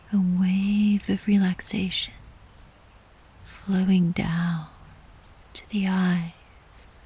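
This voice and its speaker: Woman, yoga nidra guide, hushed whispery voice, mellow tone, slow unhurried phrasing, soothing, slightly muffled recording. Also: fairly low pitch. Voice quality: soft, breathy, whispery. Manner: soothing, gentle, unhurried with long pauses. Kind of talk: guided sleep meditation.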